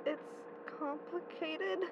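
A woman's voice: high-pitched, steady tone, slow, vocal fry, highs cut off, very noisy, heavily acted. A woman playing a headstrong, desperate young woman in an audio drama, sarcastic and insistent. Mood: stubborn, tense.

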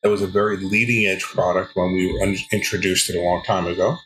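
Low-voiced older man, measured. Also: little pitch movement, fairly bright tone, slight background noise, low-quality recording. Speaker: podcast guest, sales executive. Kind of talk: relaxed interview answers.

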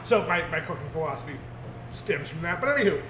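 A man's voice: on the high side, lively intonation, quick pace. A man co-hosting a casual group podcast, rambling with lots of fillers. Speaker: podcast co-host. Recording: echoey room with background noise.

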